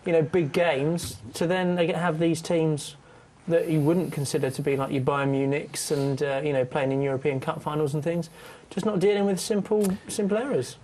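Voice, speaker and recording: Higher-voiced man talking football. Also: steady tone, normal speed, a little mellow, a little hiss or hum. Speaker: podcast panelist. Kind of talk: casual football chat.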